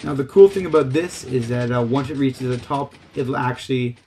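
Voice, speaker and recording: A man's voice: medium pitch, normal speed, warm tone, a little hiss or hum. A man giving a casual, unscripted game tutorial, explaining things in a loose, hesitant way. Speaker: gaming tutorial host.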